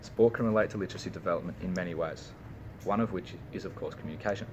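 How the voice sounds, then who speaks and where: A young man with an Australian accent reads through a personal speech he has written. His voice sits low, and he stumbles now and then before restarting a phrase. A steady noise runs under the recording. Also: racing, highs cut off. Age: young adult. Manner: reads a prepared speech with small slips and restarts. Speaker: university student.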